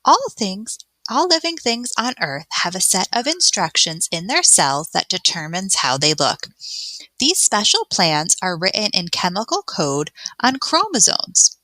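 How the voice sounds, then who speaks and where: A woman's voice: on the low side, sharp tone, dry recording. A woman teacher reading a science text aloud and guiding young pupils through it in an instructional way. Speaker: elementary teacher. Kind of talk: read-aloud science lesson for children.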